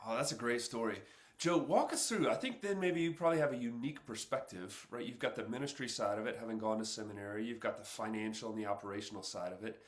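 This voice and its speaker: A man's voice: medium pitch, quick pace. Upbeat male podcast host. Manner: upbeat, conversational, some fillers. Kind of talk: interview questions.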